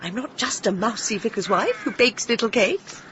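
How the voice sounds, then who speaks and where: An actress playing a genteel, polite and flustered vicar's wife in a radio drama. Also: female voice, a little high, animated, normal speed, fairly bright tone. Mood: confiding. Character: genteel, fluttery, kindly vicar's wife in an English village. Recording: dull, hissy radio drama recording.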